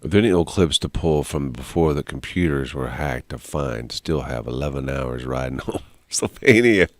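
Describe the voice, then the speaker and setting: A man's voice: very deep voice, animated, normal speed, dry recording, studio quality. A man hosting a morning radio show, teasing his co-host and chatting loosely. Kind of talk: casual morning radio banter. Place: radio studio.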